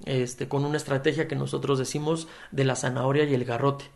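A man answering an interview question, pausing often with fillers and restarts. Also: mid-range voice, level tone, vocal fry, darkish tone. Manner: hesitant, many fillers and restarts. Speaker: human rights advocate interviewed.

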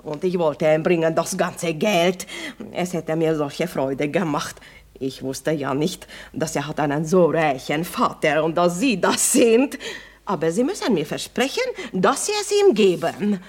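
A woman's voice: low-pitched, animated, unhurried, darkish tone. An actress playing a flirtatious foreign lady in a radio comedy, effusive and coquettish, with a foreign accent and broken German grammar. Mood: lovestruck. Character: flirtatious foreign lady, gushing former music pupil. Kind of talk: stage comedy radio acting.

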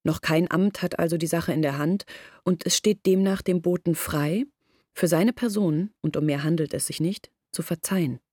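A woman's voice: fairly low pitch, moderate pace, a little mellow, no echo, clean studio sound, lightly acted. An actress playing Olga, an earnest young village woman, telling her story in a radio play. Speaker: lead character in radio play. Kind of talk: radio drama acting.

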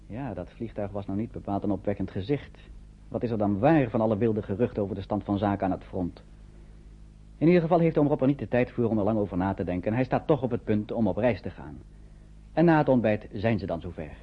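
A man acting as the wry narrator of a radio drama, with a husky voice. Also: lowish voice, lively intonation, fast. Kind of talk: scripted radio play narration. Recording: dull old radio recording.

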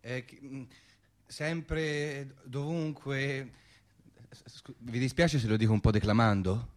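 A man's voice: medium pitch, fairly even intonation, takes it slowly, lightly acted. An actor playing an earnest, hesitant and grandiloquent man with a formal manner in a radio comedy. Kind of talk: theatrical radio comedy dialogue.

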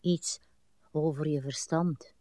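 An actress playing a weary old wife in a literary radio play, speaking plaintively in short, halting, mournful phrases. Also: female voice, sits low, steady tone, mellow, no echo. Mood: flat, weary. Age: older. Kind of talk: literary radio drama acting.

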